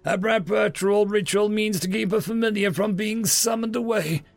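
A man voicing a smug, haughty old magistrate with a gruff, pompous delivery in a fantasy audiobook. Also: high voice, animated, measured pace, soft background music, pitched above the performer's own voice, exaggerated voice. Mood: boastful, menacing. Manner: pompous, boastful.

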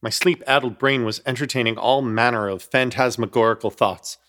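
A man's voice: medium pitch, fairly even intonation, brisk, fairly bright tone, close to an everyday voice. Actor as wry, uneasy male narrator. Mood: tense, unsettled. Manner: florid, wry storytelling. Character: intrepid, uneasy male apartment hunter narrating his ordeal. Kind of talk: scripted first-person horror comedy narration.

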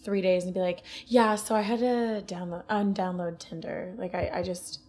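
A woman's voice: fairly low pitch, fairly even intonation, normal speed, a little mellow. A woman in her twenties from Ohio with an Ohio accent, a podcast guest talking bluntly with lots of fillers. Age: 20s. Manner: blunt, frank, filler-heavy. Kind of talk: casual chat.

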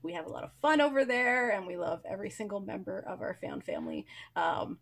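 A woman hosting a casual podcast, talking in a gravelly, husky voice. She keeps things jokey and chatty, with lots of fillers. Her pitch sits mid-range. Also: lively intonation, quick pace. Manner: joking, chatty, many fillers. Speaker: podcast host.

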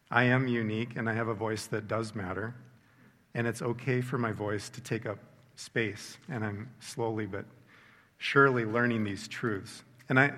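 A middle-aged man giving a sincere personal faith testimony, measured and reflective, slowing down for emphasis. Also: medium pitch, a bit bright. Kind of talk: earnest reflective testimony talk. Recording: long hall reverb.

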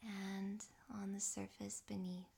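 Slow, soft woman guiding meditation. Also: medium pitch, level tone. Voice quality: soft, breathy, half-whispered. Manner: gentle, unhurried, with long pauses. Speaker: yoga nidra meditation guide. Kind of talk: guided relaxation meditation.

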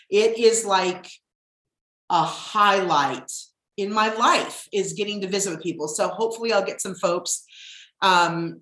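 A middle-aged woman with a deep husky voice, hosting her podcast in casual chat, rambling with plenty of ums. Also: fairly bright tone, echoey room. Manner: chatty, rambling, with frequent ums. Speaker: podcast host.

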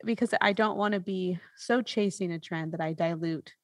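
A woman's voice: fairly low pitch, moderate pace, dry recording, studio quality. A woman hosting a podcast in a casual, reflective conversational style, often checking in with a questioning tag as she explains. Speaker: podcast host.

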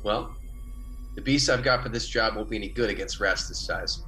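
Low-voiced, conscientious colony biologist. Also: male voice, normal speed, sharp tone, soft background music. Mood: cautious objecting. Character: conscientious male colony biologist keeping field logs. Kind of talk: old-time science-fiction radio drama acting. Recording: noisy old radio drama recording.

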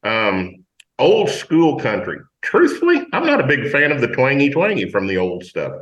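Blunt low-voiced man on a dull, echoey line. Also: huge pitch swings, normal speed, a bit bright. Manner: blunt and fired up, folksy. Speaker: country singer guest. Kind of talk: talk radio interview.

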